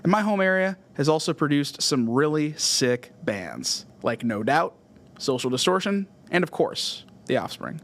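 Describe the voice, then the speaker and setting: A man's voice: a little high, sing-song, a little slow, a bit bright. A man with a Californian American accent reads scripted narration as a music podcast host, with emphatic phrasing. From California, USA. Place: podcast studio.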